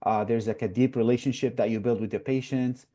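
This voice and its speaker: A man's voice: medium pitch, fairly even intonation, fairly quick, warm tone. A young man from Iraq, a new resident doctor, answers interview questions earnestly, with frequent fillers. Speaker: guest, newly matched resident.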